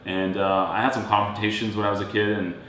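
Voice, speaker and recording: A man in his thirties from Serbia, co-hosting a casual chat in a relaxed, rambling way. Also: fast talker. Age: 30s. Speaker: co-host. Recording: very echoey room, faint rumble.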